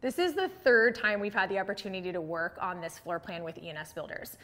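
A woman's voice: medium pitch, quick pace, over loud music. An American woman in her thirties giving a casual, enthusiastic home tour as an interior designer, speaking conversationally into a lapel mic. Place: large open-plan house interior with hard floors. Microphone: clip-on lapel mic.

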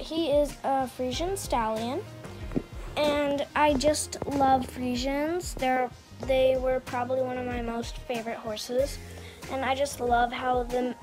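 A woman's voice: high-pitched, darkish tone. A young woman giving a casual show-and-tell tour of her toy horse stable at home, rambling with many ums and restarts, filmed on a handheld phone. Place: indoors at home, beside a toy stable on the floor. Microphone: handheld phone camera.

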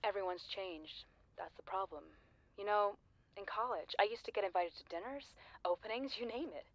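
A woman's voice: mid-range voice, moderate pace, close to an everyday voice. An actress playing a lonely, needy woman in an audio play, wistful and pointed as she clings to an old school friend. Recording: slightly dull, dry recording.

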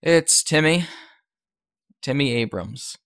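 A woman's voice: very deep voice, lively intonation, slow pace, dry recording, heavily acted. A woman playing a hesitant, plain-spoken young newcomer in an audio drama. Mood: uncertain. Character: hesitant young boy new to a drug crew.

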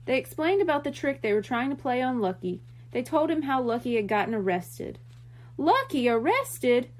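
A woman narrates a children's story in a high voice. Her pitch moves around a great deal, giving the scripted reading a lively, expressive storytelling feel. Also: a little fast.

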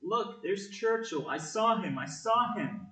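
Casting: actor as cheeky, excitable young boy for radio play; bright delivery, roomy distant recording. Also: medium pitch, a little slow. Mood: excited. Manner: blunt, excitable. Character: mischievous scruffy little boy, defiant and excitable. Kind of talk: community audio play acting.

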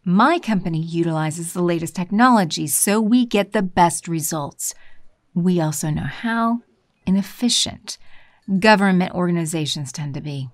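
A woman playing a scheming villain empress posing as a smooth businesswoman, smug and condescending, in an audio drama. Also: fairly low pitch, lively intonation, moderate pace, dry recording, clean studio sound, close to an everyday voice. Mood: smug, poised.

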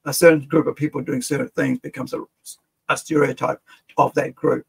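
A middle-aged man from Fiji with an American-sounding accent, rambling and animated as he reacts to a video from his desk in a small room. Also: medium pitch, brisk, vocal fry, slightly rough. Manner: rambling and animated, with frequent restarts. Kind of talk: casual reaction commentary. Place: small room with collectible shelves, gaming chair.

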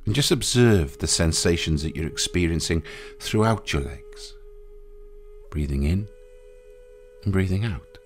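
A man guiding a meditation in a soothing, unhurried way, pausing between short phrases. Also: low voice, animated, a little hiss or hum. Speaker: meditation guide. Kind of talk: guided meditation. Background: music.

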